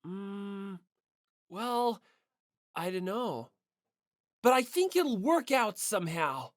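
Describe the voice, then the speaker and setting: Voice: medium pitch, big pitch swings, slow, no room sound, studio quality. A narrator voicing a timid, optimistic, apologetic teenage schoolboy in a manga audiobook, hesitant and eager.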